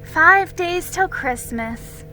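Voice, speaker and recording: A woman's voice: very high-pitched, animated, very slow, pushed and bright, faint music behind, pitched above the performer's own voice, full character voice, low-quality recording. A woman voicing an eager, pleading young colonial girl in a dramatic storybook reading. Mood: excited. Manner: earnest, emphatic, pleading.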